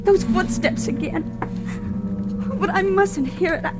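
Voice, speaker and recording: An actress playing a fragile, panicked young woman in an old radio horror play, breathless, halting and repeating herself. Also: extremely high pitch. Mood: terrified. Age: young adult. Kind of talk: 1940s radio horror acting, monologue. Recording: old radio drama with music underneath.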